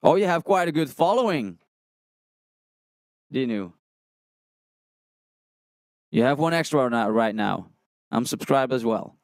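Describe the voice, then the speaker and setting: Slow, gravelly-voiced male streamer. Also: medium pitch, lively intonation, no room sound, studio quality. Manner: unhurried, thinking aloud, reads chat messages. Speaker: music reaction streamer. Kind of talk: casual livestream reaction chat. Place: small room with colored lights, indoors. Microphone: studio condenser mic on a stand, headphones on.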